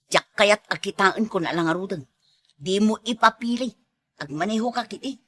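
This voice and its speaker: A sharp, bright-voiced wife in an Ilocano comedy drama played by a woman, irritable and quietly sorrowful. She speaks with an Ilocano accent, in a forceful, cutting tone. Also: mid-range voice, normal speed, natural voice. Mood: irritated.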